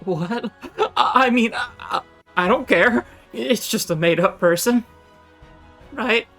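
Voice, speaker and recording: A woman's voice: on the high side, slow, fairly bright tone, soft background music, full character voice. A woman voicing a timid, guilt-ridden young male monster student who stammers and apologises in a game. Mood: defensive, flustered. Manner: stammering, apologetic, easily flustered.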